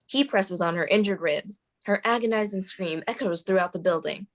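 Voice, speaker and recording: A woman's voice: mid-range voice, moderate pace. A woman reading screenplay stage directions as the narrator at a table read.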